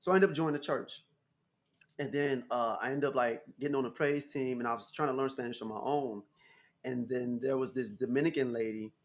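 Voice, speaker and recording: An American man in his thirties, a guest musician telling stories in a casual interview, animated and laughing often. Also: fairly quick. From United States. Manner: animated, laughing, jumps into high voice for quotes.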